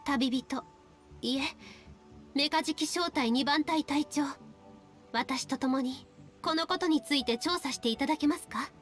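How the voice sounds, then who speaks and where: A woman's voice: high-pitched, lightly acted. A woman voicing a poised, courteous young female military leader in an anime game, speaking Japanese formally and with authority. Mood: composed, inviting. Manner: formal, polite, measured phrasing. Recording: game dialogue over background music.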